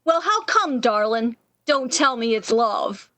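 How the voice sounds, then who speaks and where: A woman's voice: a little high, lively intonation, slow pace, pushed and bright, no room sound, full character voice. A woman playing a brassy, motherly and worldly-wise night switchboard operator in 1930s radio style, slangy and teasing with endearments. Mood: breezy teasing.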